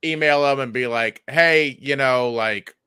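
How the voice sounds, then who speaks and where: Bright-voiced man chatting in a dry room. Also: medium pitch, a little slow, smooth, dry recording. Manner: stammering, repeats words, swears casually. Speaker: comedian and podcast co-host. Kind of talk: casual chat.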